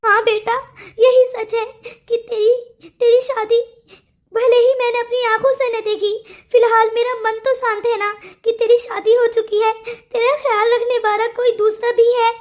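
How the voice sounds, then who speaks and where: Woman acting a frail dying mother, very high-pitched. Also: little pitch movement, normal speed. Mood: resigned, pleading. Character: dying mother, frail, tearful, devoted to her daughter. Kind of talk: melodramatic cartoon story acting.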